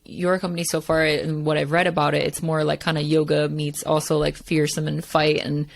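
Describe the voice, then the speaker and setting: A woman's voice: low voice, little pitch movement, brisk, creaky. A woman hosting a podcast, upbeat and chatty, full of "like" and "right". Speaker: podcast host. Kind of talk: casual podcast interview.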